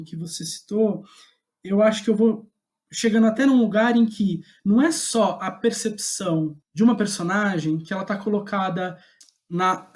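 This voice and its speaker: A man with a Brazilian Portuguese accent, reflecting in a podcast interview as a guest playwright, searching for his words as he goes. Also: sits high. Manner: halting, thoughtful, searching for words.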